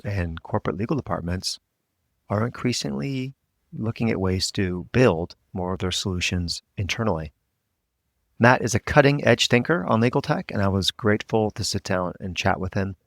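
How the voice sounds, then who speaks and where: A man hosting a podcast, reading a scripted intro and then asking questions in a relaxed way. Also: fairly low pitch, vocal fry, no echo, studio quality. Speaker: podcast host, lawyer. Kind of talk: podcast interview.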